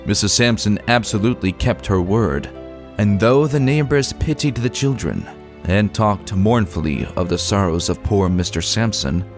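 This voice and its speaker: A man reading a classic short story as a narrator, in a measured, old-fashioned literary delivery. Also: mid-range voice. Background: background music.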